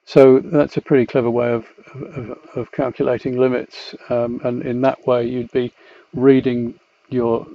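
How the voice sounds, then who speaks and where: A man explaining a technical software demonstration in a methodical, hesitant way, pausing as he goes. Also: medium pitch, flat delivery, no echo. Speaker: company executive presenting a product tutorial. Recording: slightly dull, muffled lo-fi voice track.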